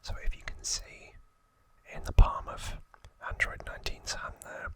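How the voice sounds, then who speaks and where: A man hosting ASMR, narrating trivia in a breathy, hushed whisper with unhurried pauses. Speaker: ASMR host.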